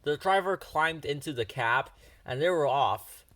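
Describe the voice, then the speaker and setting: A man narrating a children's storybook in an expressive read-aloud style. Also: a little high, measured pace, no echo. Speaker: storybook reader.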